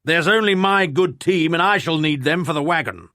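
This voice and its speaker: A man's voice: a little high, normal speed, bright, forceful tone, no echo, clean studio sound, voice pushed up. A man reading a story, putting on a haughty, indignant old lord who stammers at times. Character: blustering pompous old English lord.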